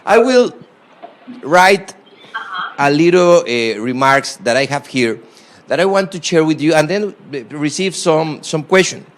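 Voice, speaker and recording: A man with a strong Costa Rican Spanish accent lectures at a university in English that is not his first language. He pauses, restarts and fills gaps often, then leans hard on key words. His voice sits a little high for a man. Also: expressive. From Costa Rica. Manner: halting non-native English with frequent fillers and restarts. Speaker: guest lecturer. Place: lecture hall.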